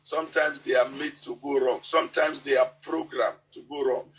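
A man with a Nigerian accent preaching a sermon, halting and often repeating words as he restarts his sentences. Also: mid-range voice, fairly even intonation, fairly bright tone.

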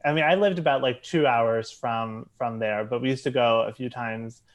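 A man's voice: mid-range voice, normal speed, muffled recording. A man from Maryland chatting as a podcast guest, hesitant and full of fillers and restarts. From Maryland, USA. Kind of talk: casual interview chat.

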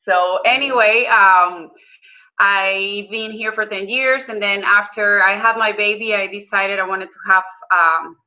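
A woman's voice: mid-range voice, steady tone, measured pace. Earnest woman with a muffled sound. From Venezuela. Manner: earnest, hesitant with frequent ums and restarts. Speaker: guest, health coach and business owner. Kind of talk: conversational interview.

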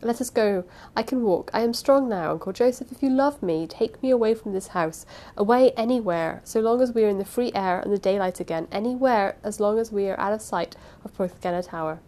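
A woman's voice: mid-range voice, steady tone, a little fast, hardly any pauses, mellow, close to an everyday voice. Faint, frightened young relative for an audiobook, acted by a woman narrator: urgent, despairing, begging. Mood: desperate. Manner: urgent, imploring, repeated phrases. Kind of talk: audiobook character voice.

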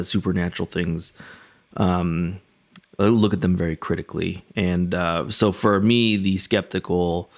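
Deep-voiced man giving a casual devotional. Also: darkish tone. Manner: halting, reflective, conversational. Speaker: pastor or devotional speaker. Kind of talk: casual spoken devotional with personal storytelling.

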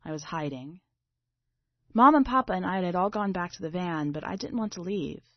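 A woman reading a literary short story aloud in a measured, dramatic way. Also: lowish voice, lively intonation. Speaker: story reader. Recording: slightly dull, boxy roomy recording.

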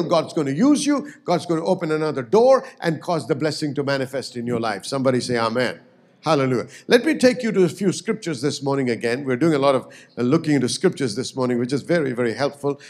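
A man's voice: medium pitch, darkish tone. A man preaching a sermon as pastor, emphatic and swelling on key words.

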